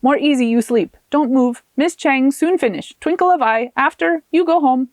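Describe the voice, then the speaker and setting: Woman voicing a bossy high-pitched little herbalist lady. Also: normal speed, no room sound, close to an everyday voice. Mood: bossy, reassuring. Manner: clipped broken English, firm and reassuring.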